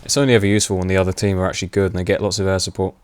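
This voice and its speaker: A young man with a fairly low voice talks over his gameplay in a loose, rambling way. He pauses on fillers and laughs often, and his voice is wearing hoarse. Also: flat delivery. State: voice going hoarse. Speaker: gaming commentator. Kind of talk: casual gameplay commentary.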